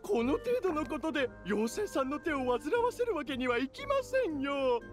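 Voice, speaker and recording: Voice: sits high, sing-song, normal speed, music in the background. An actor voicing a fussy, polite young origami squirrel worker in a fantasy game. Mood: polite, flustered. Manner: polite to guests, exasperated with mate. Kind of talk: animated game voice acting.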